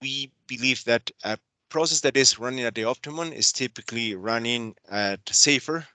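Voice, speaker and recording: Peruvian-accented engineer, earnest and halting; bright voice, dry close mic. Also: male voice, medium pitch, no room sound. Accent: Peruvian Spanish. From Peru. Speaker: process control engineer. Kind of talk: webinar self-introduction.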